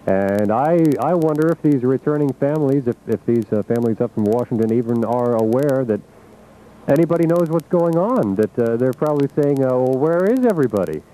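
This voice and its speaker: A man reporting live on radio news, unscripted, measured and reflective, with searching pauses. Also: medium pitch, expressive, warm tone. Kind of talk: live unscripted event coverage. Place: outdoors at an airport. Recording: muffled, noisy 1980s radio aircheck.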